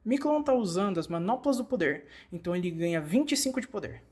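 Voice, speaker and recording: A woman's voice: medium pitch, expressive, quick pace, a little mellow. A woman with a Brazilian Portuguese accent reading scripted instructional narration, explaining directly to the listener as in a fan-dubbed tutorial.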